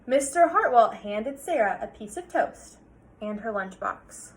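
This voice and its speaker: A woman's voice: on the high side, lively intonation, unhurried, a little hiss or hum. A woman teacher reading a picture book aloud to children, explaining things and prompting them with questions.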